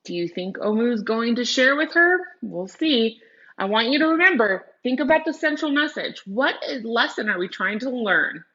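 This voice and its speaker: A woman's voice: on the high side, fairly bright tone, dull audio. Woman teacher reading aloud to children. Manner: explanatory, addressing children directly with frequent questions. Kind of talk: read-aloud lesson with vocabulary explanations and questions to young viewers.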